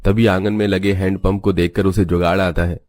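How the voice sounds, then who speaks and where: A man's voice: low-pitched, little pitch movement, brisk, darkish tone, no echo, clean studio sound. A man reading a scripted family story as its narrator.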